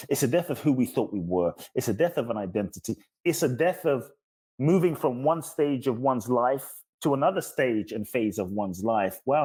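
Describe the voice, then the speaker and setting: A man's voice: mid-range voice, dark tone, husky. A man speaking as a guest spiritual teacher in an interview, earnestly explaining in emphatic, repeating lists. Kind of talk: earnest self-help teaching in conversation.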